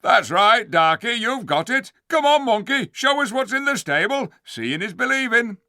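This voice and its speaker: A man's voice: very high-pitched, sing-song, normal speed, bright, forceful tone, dry recording, studio quality, voice pushed up, heavily acted. A man voicing a cynical, scornful male dwarf chief who mocks the ape. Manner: sneering, mocking.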